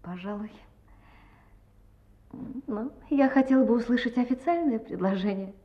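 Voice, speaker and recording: An actress plays a spirited young woman in an audio drama, high in pitch and very animated. She is playful, direct and affectionate. Music plays behind her slightly muffled voice. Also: moderate pace, close to an everyday voice. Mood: soft, tender.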